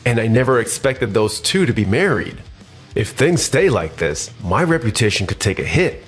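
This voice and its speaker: A man's voice: mid-range voice, sing-song, normal speed, faint music behind, lightly acted. A man playing a scheming, self-important office manager with pushy corporate formality in a manga dub. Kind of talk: scripted manga dub acting.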